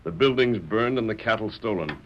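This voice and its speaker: A man acting a commanding, calm cowboy hero in an old radio western, speaking firmly and precisely. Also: on the low side, fairly quick. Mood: calm, explaining. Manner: firm, precise, authoritative. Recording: dull, hissy old radio broadcast.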